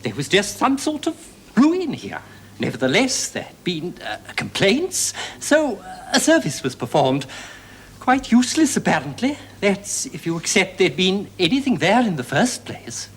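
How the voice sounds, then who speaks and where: Against clear background noise, an actress as an earnest bookish Englishwoman speaks haltingly and precisely. Her intonation is very lively, in 1970s television drama style. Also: lightly acted. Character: earnest, fussy, bookish local researcher, hesitant but persistent. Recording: noisy 1970s TV soundtrack, background rumble.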